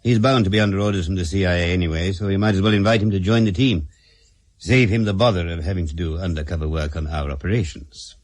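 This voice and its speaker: An actor playing a wry, unflappable British prime minister in a radio drama, with a British accent and dry authority. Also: male voice, low voice, fairly even intonation, fast, darkish tone. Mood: wry, knowing.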